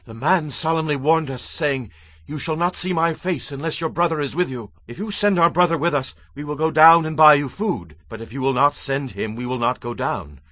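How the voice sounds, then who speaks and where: An anxious grown son from a biblical audio drama, played by a man, pleading with earnest urgency. The voice sits fairly high and the sound is dry. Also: moderate pace, a bit bright, dry recording, close to an everyday voice. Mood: firm. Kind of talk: dramatized scripture audio acting.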